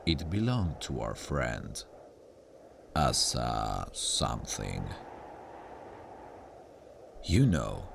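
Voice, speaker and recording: A man playing a sardonic demon guardian of hell in a slow ASMR roleplay, smug and dryly menacing, with a very deep, gravelly voice. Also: heavily acted. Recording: noisy ambient sound bed. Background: hellish ambience.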